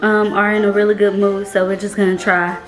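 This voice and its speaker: Young lady vlogging casual w her friend, goofy, echoey room, music up loud. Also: mid-range voice, level tone, brisk. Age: young adult. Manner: goofy, informal. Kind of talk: casual chat to camera with a friend.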